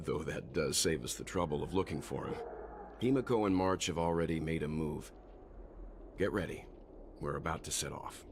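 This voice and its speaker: A man playing a composed, analytical, mature traveller in a video game, speaking in a measured, deliberate, scholarly way. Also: rumbling low, background noise. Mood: wry, decisive. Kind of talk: scripted video game voice acting.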